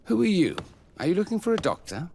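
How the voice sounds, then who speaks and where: A man's voice: sits high, sing-song, fast talker, gravelly, noisy background, exaggerated voice. A man acting a cautious, respectful army camp doctor in a video game, polite and wary. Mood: startled.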